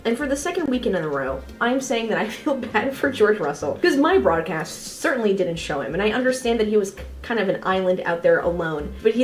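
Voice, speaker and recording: A woman's voice: on the high side, darkish tone. A woman hosting a semi-scripted race review, speaking in an informal, opinionated and emphatic way. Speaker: motorsport video creator and host. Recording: roomy echo with faint music behind.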